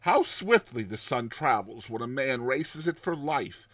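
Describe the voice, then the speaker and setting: A man's voice: mid-range voice, lively intonation, a little slow, fairly bright tone, no echo. A man playing a haunted, terrified man on the edge of madness in a dramatized horror story, speaking intensely and drawing out his words. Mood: desperate dread. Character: haunted, terrified man recounting a recurring nightmare, near madness.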